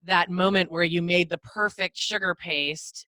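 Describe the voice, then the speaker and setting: Bright-voiced woman host, dry sound. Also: fairly low pitch, steady tone, a little slow, dry recording. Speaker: podcast host. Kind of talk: interviewing a guest.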